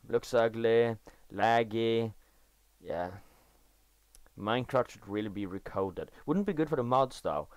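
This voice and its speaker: A man's voice: fairly low pitch, no echo. Teen boy with a Norwegian accent. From Norway. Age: teenager. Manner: halting, self-correcting, enthusiastic about game details. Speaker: gaming video creator. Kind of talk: casual gameplay commentary. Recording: dry voice-over recorded after gameplay.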